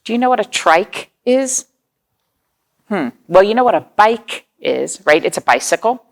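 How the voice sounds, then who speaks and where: A woman's voice: medium pitch, big pitch swings, measured pace, gravelly. A woman hosting a children's storytime, reading aloud, explaining things simply and asking the young listeners questions.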